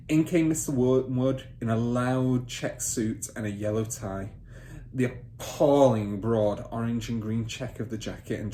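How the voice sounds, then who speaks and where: A man narrates a children's story in an expressive teacher's read-aloud style. His pitch moves around a lot. The room adds noticeable echo, and there is faint noise behind him.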